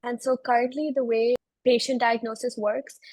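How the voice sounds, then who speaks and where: Higher-pitched young woman, close dry sound. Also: flat delivery, normal speed, a little mellow, no room sound. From Belgium. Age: 20s. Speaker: interview guest, research analyst. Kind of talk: conversational career explanation.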